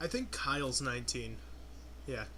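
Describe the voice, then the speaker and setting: A man's voice: medium pitch, sing-song, normal speed, pushed and bright, slight background noise. A nineteen-year-old man in casual, rambling chat, swearing offhandedly.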